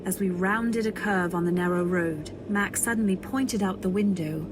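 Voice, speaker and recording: A woman playing a dreamy, naive young newlywed, narrating in measured phrases in an old-time radio drama style. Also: mid-range voice, noisy background, natural voice. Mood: wistful, dreamy. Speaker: narrator and lead. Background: faint music.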